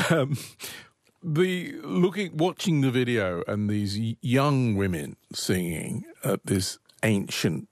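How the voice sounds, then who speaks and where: A man interviews his guest, his voice pitched a little low. He stammers and restarts as he frames his questions. The sound is close and dry. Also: no room sound. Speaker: interview host.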